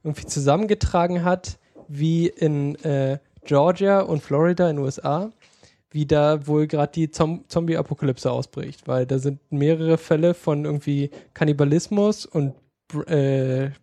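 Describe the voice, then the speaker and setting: Rambly podcast guy, laughs a lot, slightly high mellow voice. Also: fairly even intonation, moderate pace, no room sound, studio quality. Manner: rambling, laughs often, many fillers. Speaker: podcast co-host. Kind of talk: casual chat.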